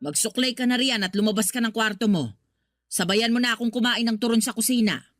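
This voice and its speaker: An actress playing a patient but firm middle-aged mother in a radio drama, soothing and gently scolding. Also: a little high, a little fast, no echo, clean studio sound, lightly acted. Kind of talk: Tagalog radio drama acting.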